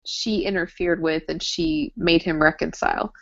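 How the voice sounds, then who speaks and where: A woman's voice: fairly low pitch, very animated, moderate pace, vocal fry. Lady podcast cohost chatting casually, kinda exclaimy and teasing, lofi dull sound. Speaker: podcast co-host. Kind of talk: casual chat discussing a TV drama. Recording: dull lo-fi audio.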